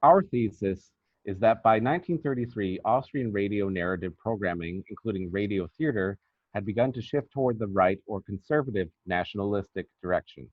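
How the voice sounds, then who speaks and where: A man's voice: lowish voice, fairly quick, dry recording. A man reading a scripted academic paper aloud, on a muffled, narrow-band recording. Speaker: academic researcher presenting a paper.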